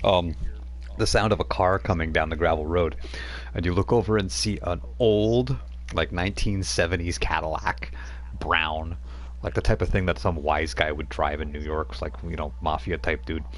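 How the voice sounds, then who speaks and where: Deep-voiced male game master. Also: moderate pace. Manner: rambling, filler-heavy narration. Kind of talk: narrating a tabletop game.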